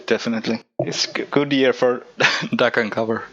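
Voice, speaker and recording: A man's voice: mid-range voice, fairly even intonation, fairly quick, fairly bright tone, muffled recording. A man hosting a podcast, chatting casually in a laid-back way and laughing between his words. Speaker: podcast host. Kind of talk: casual chat.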